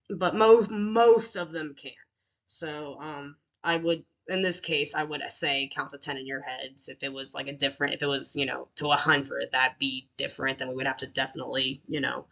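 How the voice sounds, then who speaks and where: Woman, teacher, deep voice, bright tone, casual with fillers, dull lo-fi recording. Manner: casual, many fillers and restarts. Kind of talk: presenting a classroom lesson plan.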